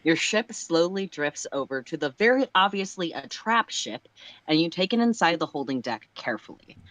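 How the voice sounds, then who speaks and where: A storyteller reading fiction aloud in an expressive narrating style. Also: medium pitch, moderate pace, dry recording.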